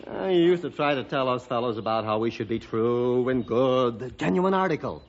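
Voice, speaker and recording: A man's voice: mid-range voice, expressive, moderate pace, close to an everyday voice. An actor playing a glib, cynical, money-minded young man in an old radio drama, breezy and dismissive. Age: young adult. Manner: breezy, dismissive, self-satisfied. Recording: dull, noisy old radio recording.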